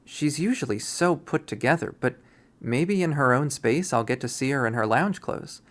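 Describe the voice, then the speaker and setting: A man reading a visual novel aloud as its first-person narrator, in an expressive storytelling style. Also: mid-range voice, normal speed.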